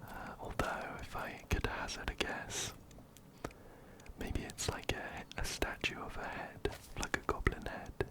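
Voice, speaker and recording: Slow whispering man, ASMR. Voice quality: soft breathy whisper. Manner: gentle, repeats words rhythmically. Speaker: ASMR gaming video host. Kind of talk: whispered ASMR game commentary. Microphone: close-up binaural microphone, ear to ear.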